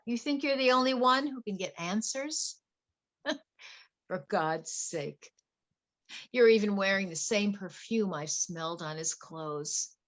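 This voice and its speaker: A woman playing a betrayed wife turned coolly vengeful poisoner in a dramatic stage play reading. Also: fairly low pitch. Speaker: actor in a play workshop.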